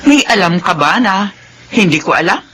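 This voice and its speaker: An actress with a Filipino accent playing a devoted middle-aged mother in a radio drama, earnest and gentle in manner. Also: medium pitch, lively intonation, moderate pace, lightly acted. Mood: concerned, gentle. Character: devoted, gently admonishing mother of teenage children. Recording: radio recording with faint background noise.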